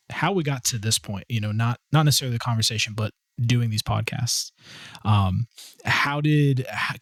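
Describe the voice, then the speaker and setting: A man's voice: lowish voice, dry recording, studio quality. Upbeat man co-hosting a podcast. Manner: agreeable, upbeat, lots of affirming interjections. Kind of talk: casual conversational podcast talk.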